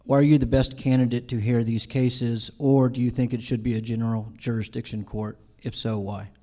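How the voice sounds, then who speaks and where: American guy moderating, mellow even voice, just reading the questions. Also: mid-range voice, a little fast. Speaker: forum moderator.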